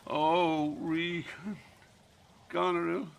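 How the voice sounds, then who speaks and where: A man's voice: a little high, level tone, extremely slow, creaky, slight background noise, full character voice. An actor playing a grief-stricken old king going mad, in theatrical, declamatory Shakespearean audio drama style. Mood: anguished rage. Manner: theatrical, declamatory, swinging from muttering to roaring.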